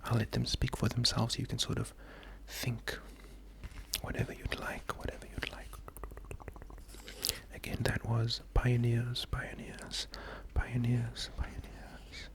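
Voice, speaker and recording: A man doing a slow, soft-spoken ASMR poetry reading, gentle and calming, half-whispered with a breathy, creaky voice. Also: medium pitch. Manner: gentle, unhurried, calming, often repeating words. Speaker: ASMR host.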